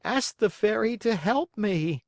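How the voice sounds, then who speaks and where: Puppet boy, played by a man, high voice, pleading, excitable, lively storybook voice. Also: takes it slowly, creaky, no echo, clean studio sound, exaggerated voice. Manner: eager, pleading.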